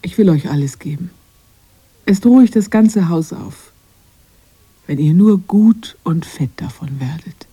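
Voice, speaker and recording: A woman playing a lonely, aching witch who tempts children, in intimate radio play style, with a dark, husky voice and a yearning delivery. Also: lowish voice, lively intonation, unhurried, pauses often, exaggerated voice. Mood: tempted.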